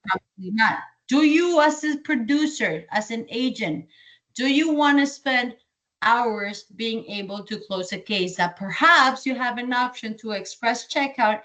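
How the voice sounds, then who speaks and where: High-voiced Colombian woman, sales trainer, lively, repeats key points. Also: unhurried, rough recording. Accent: Colombian Spanish. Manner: energetic, repeats key points, asks and answers own questions. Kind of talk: training presentation.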